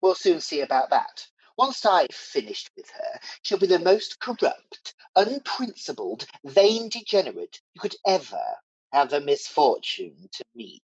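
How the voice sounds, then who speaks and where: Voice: mid-range voice, big pitch swings, measured pace, breathy edge, dry recording, lo-fi sound. An actor playing a wicked, scheming, cynical pantomime villain in a radio play, sneering and gloating theatrically. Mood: gloating menace.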